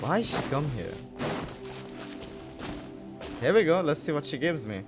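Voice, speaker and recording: Casual playthrough commentator, sex unclear: mid-pitched, expressive, slangy, with game music behind. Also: unhurried. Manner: jokey, slangy, exclaiming. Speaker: streamer reacting to a game.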